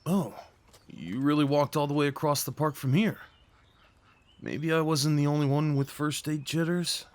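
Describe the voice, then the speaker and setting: A man playing a shy, sweet gentleman on a first date in an ASMR roleplay, speaking in an earnest, hesitant, tender way. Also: fairly high pitch, normal speed, lightly acted. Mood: fond, admiring. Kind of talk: ASMR roleplay, intimate scripted acting.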